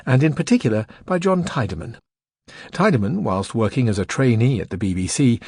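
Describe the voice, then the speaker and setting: A man's voice: mid-range voice, a little mellow, slightly rough, no echo. A man reading scripted, documentary-style narration for an audiobook at a close studio microphone.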